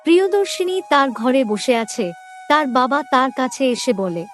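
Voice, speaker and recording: High-voiced woman narrating a story. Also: lively intonation, measured pace, a bit bright. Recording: music under the voice.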